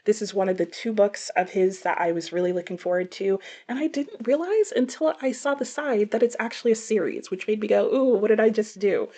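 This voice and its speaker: A woman talking casually as a book reviewer, conversational, with animated asides and self-corrections. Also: medium pitch, a little fast, hardly any pauses. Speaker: book reviewer presenting a book haul. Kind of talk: casual chat to camera.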